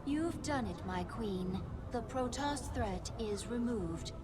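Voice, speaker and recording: A solemn female alien servant of the swarm in a video game. She speaks slowly in a high, reverent voice, over clear background noise. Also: animated. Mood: reverent, curious. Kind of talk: dramatic video game acting.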